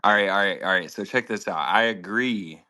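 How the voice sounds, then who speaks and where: A man's voice: lowish voice, moderate pace, sharp tone. A man from Texas calling in to a radio show, talking back in casual slang. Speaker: caller.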